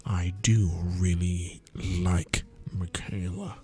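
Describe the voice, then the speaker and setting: A man's voice: low-pitched, steady tone, slow, a little mellow, breathy edge. A man reading a visual novel aloud to friends, playful and slipping in whispered joke asides. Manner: playful, drops whispered running-gag asides.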